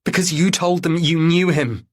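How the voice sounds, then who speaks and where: A man's voice: a little high, steady tone, moderate pace, voice pushed up, lightly acted. A man voicing a tense, determined mother who speaks urgently and insistently in an audiobook thriller. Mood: insistent, desperate.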